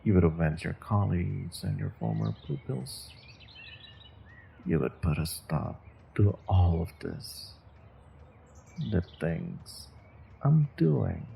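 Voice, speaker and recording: Guy doing an evil sorcerer for fantasy audio, low gravelly voice, controlled and condescending, swoops around a lot. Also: mellow, slight background noise, exaggerated voice. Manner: deliberate, theatrical, condescending. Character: menacing, controlled evil male sorcerer, captor taunting prisoner. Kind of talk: scripted fantasy audio roleplay, intimate second-person monologue.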